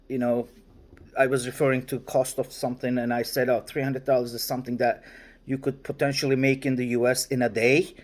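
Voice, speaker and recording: A man in his forties from Turkey, speaking English with a Turkish accent in a formal, deliberate way, pausing to search for words in a response vlog. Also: medium pitch, darkish tone. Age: 40s. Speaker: vlogger. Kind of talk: direct-to-camera response monologue.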